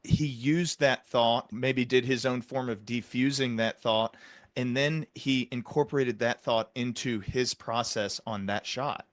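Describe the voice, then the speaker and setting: A man's voice: mid-range voice, steady tone, unhurried, no echo. A man speaking as an interview host, retelling an example in conversation.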